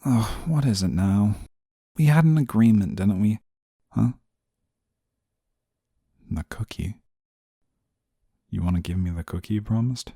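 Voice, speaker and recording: A stern, dutiful male guardian angel, acted by a man in an intimate audio roleplay. His voice is low, soft-toned and husky. He speaks formally, with a prim reserve that easily turns flustered. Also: unhurried, long pauses, dry recording, studio quality, heavily acted. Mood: reluctant, flustered. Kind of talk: scripted audio roleplay, intimate one-sided dialogue.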